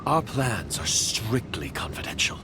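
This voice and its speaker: A man's voice: fairly low pitch, sing-song, unhurried. A man voicing a stern, suspicious conspirator in a video game, speaking formally and guardedly. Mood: wary. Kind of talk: dramatic video game voice acting. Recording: noisy ambience behind the voice.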